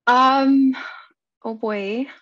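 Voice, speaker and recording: Woman on a video call thinking out loud, kinda unsure, muffled lo-fi sound, no room echo. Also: high voice, steady tone, drawn-out words. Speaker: workshop volunteer. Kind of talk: casual, thinking aloud. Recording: dull lo-fi call audio, dry.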